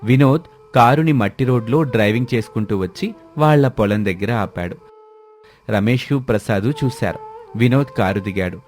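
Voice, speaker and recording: A man's voice: mid-range voice, normal speed, darkish tone, faint music behind. A man reading a scripted story as its narrator.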